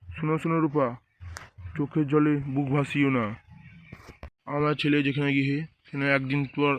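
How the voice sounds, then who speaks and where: High-voiced pleading village father, acted by a man. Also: monotone, normal speed, no echo, muffled recording, close to an everyday voice. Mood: earnest pleading. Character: stern but consoling village father of a runaway. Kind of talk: amateur comic cartoon dubbing.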